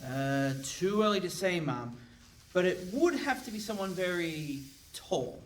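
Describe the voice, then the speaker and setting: A man playing a deferential, hesitant, deadpan detective sergeant in a comic radio play. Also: high-pitched, a little slow. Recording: live hall stage, distant mic, faint noise.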